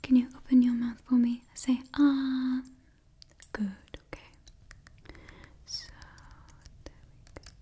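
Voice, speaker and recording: A woman in her twenties whispering an ASMR roleplay close to the microphone, breathy and repeating her phrases. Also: high-pitched, little pitch movement, slow pace, pauses often, mellow. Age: 20s. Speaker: ASMR performer playing a monster party door checker. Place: small indoor setup before a brick backdrop. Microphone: close microphone, face near camera.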